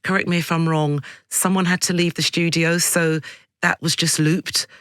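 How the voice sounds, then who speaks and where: A radio interviewer with a mid-pitched voice, asking questions conversationally. The delivery is chatty and engaged. The sound is very dry, close on a studio microphone. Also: flat delivery, moderate pace, a bit bright, clean tone, no room sound.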